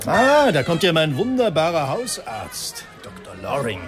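An actor playing a tormented, self-mocking alcoholic writer in a radio drama, theatrical and halting. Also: male voice, on the high side, wildly swooping pitch. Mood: restless, self-mocking. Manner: theatrical, halting, swinging between murmur and outburst. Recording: music behind the voice.

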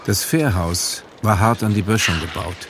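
A man's voice: fairly low pitch, normal speed, noisy background, lightly acted. A man giving sober, literary scripted narration as the narrator of a radio drama. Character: sober male narrator of a wartime novel.

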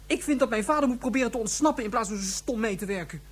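A woman's voice: fairly high pitch, quick pace, husky. A woman playing an earnest, argumentative young boy in a science-fiction radio drama, stumbling over words in eagerness to explain. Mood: earnest, worried.

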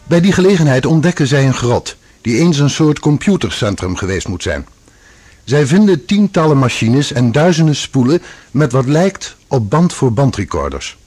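A man narrating a scripted radio drama with a husky voice. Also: medium pitch, very animated, normal speed, darkish tone.

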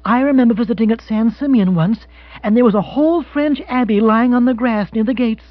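A man's voice: extremely high pitch, fairly quick, no echo, highs cut off, voice pushed up. A man voicing a gushing, imperious wealthy woman who speaks effusively and decisively, as in an audiobook.

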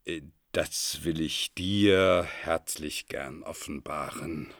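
A man's voice: a bass voice, slow pace, gravelly, a bit bright, no echo, clean studio sound, exaggerated voice. An actor playing a pompous madman who believes he is Jupiter, declaiming grandly and solemnly in a literary radio drama. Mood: lofty, explaining. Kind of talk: theatrical literary radio drama in archaic German.

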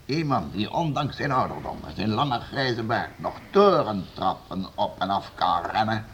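A man's voice: fairly low pitch, slight background noise. An actor playing a commanding, scornful nobleman in a radio play. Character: stern, domineering male nobleman, bullying and sure of himself.

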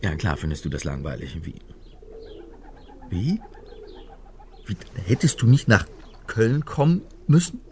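An actor playing a rattled but dryly wry amateur sleuth in a naturalistic German radio crime drama. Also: male voice, deep voice, huge pitch swings, a little slow, long pauses, a little mellow, a little hiss or hum, heavily acted. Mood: flustered, placating.

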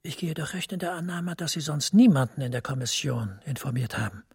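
An actor playing a secretive, authoritative male official in a radio play, breathy, formal and confidential. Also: fairly high pitch, animated, darkish tone, full character voice. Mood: controlled, confidential. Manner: measured, formal, confidential, dropping to whispers.

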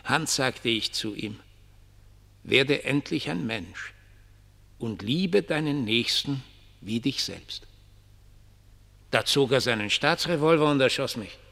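An actor in a German radio play as a ragged homeless dreamer, mocking, prophetic and pitying, with theatrical, declamatory delivery. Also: male voice, mid-range voice, animated, slow, gravelly, pauses often, pushed and bright, full character voice. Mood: dreamy, pitying. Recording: long reverberant hall.

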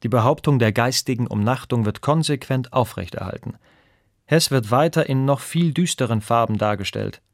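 A man's voice: medium pitch, moderate pace, no echo, studio quality. A man giving a formal, declarative scripted reading of a news commentary. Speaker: reader of historical radio texts.

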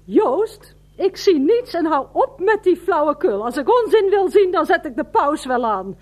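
A woman's voice: extremely high pitch, big pitch swings, unhurried, a little mellow, exaggerated voice. An actress playing an exasperated wife who scolds her husband in a radio play. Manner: scolding, dry.

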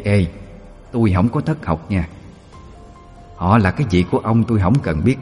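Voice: medium pitch, a little mellow, natural voice. A man voicing a sly, whining old river ghost with a Southern Vietnamese accent. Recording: reverberant, faint background music.

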